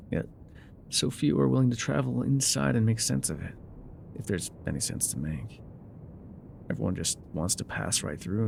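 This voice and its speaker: Casting: male actor as weathered explorer-guide for ASMR roleplay, low-pitched with gravelly fry, wry and mysterious, musing hesitantly. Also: darkish tone, a little hiss or hum. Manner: musing, hesitant, teasing, trailing off. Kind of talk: intimate ASMR roleplay acting.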